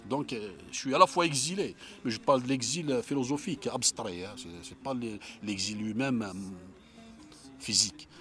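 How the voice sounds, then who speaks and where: A man's voice: medium pitch, moderate pace, a bit bright. Earnest man with music behind. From Algeria. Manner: earnest, repeats himself and restarts, rising emphatic stresses. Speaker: interviewee. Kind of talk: reflective explanation.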